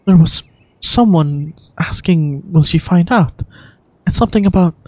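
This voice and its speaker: A woman's voice: on the low side, measured pace, mellow, no room sound. A woman acting an earnest, dutiful, concerned adventurer in scripted video game dialogue.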